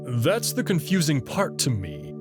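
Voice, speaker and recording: A proud, guarded alien man, as a male reader voices him, explaining in a measured, unhurried way. His pitch moves in a lively way even when he speaks slowly. Music plays steadily behind him. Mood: calm. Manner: measured and slow, prideful about his species. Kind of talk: visual novel reading.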